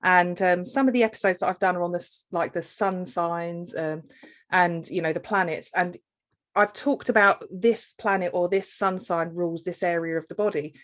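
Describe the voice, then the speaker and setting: A woman hosting a casual podcast interview. She asks her questions in a loose, relaxed way and fills her pauses with ums and likes. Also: on the low side. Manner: relaxed, many ums and fillers. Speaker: podcast host.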